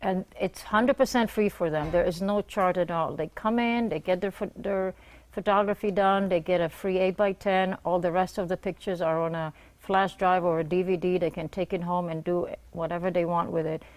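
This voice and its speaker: A woman's voice: lowish voice, steady tone, normal speed, a little mellow, poor audio. A middle-aged woman, a guest on a morning TV show, speaking earnestly in short plain bursts. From Israel. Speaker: guest, nonprofit founder. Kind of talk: morning TV interview. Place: TV studio.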